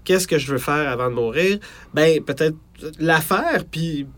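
A Quebec French man chatting on a podcast with a slightly high voice. He rambles and hesitates, stopping and restarting his thoughts as he goes. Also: measured pace, crowd noise. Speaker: podcast co-host. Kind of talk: casual podcast chat.

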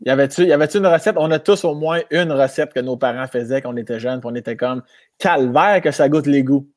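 A man's voice: mid-range voice, quick pace, no echo, studio quality. A man with a Québécois French accent hosting a live interview, joking and playful in casual talk. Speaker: host of a live interview show.

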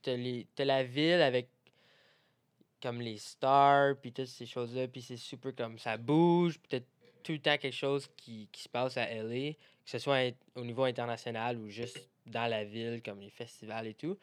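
A man's voice: medium pitch, steady tone, moderate pace, clean tone. Casual young man with French Canadian accent. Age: young adult. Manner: informal, conversational. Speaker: podcast co-host. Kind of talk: casual podcast chat.

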